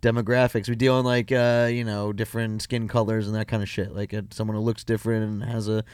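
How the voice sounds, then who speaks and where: A man's voice: on the low side, level tone, quick pace, a little mellow, smooth, no room sound. A young man co-hosting a podcast, reading listener questions and joking around in loose, profane chat. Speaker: podcast co-host.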